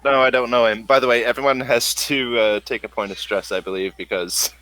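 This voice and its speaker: A man with a bright, forward voice runs a tabletop roleplaying session. He narrates casually and haltingly as game master. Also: medium pitch, fairly even intonation, slight background noise, low-quality recording.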